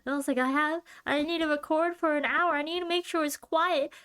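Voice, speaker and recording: Woman podcast host, slightly high voice, giggly, loopy rambling. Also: fairly even intonation, fairly quick, fairly bright tone. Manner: giggly and loopy, thinking aloud. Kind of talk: casual rambling solo podcast talk.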